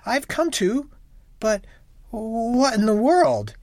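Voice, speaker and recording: Woman playing a simple young husband, gentle, coaxing, puzzled, storybook reading. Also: fairly high pitch, big pitch swings, slow pace, no echo, pitched above the performer's own voice, heavily acted. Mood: bewildered.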